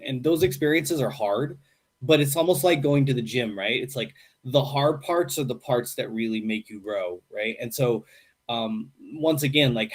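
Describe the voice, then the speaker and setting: A middle-aged American man speaking casually in an interview. His voice sits at an ordinary pitch, and he talks in a loose, conversational way. He keeps tagging his points with a quick "right?". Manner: relaxed, chatty, frequent "right?" tags. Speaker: English teacher at a university program.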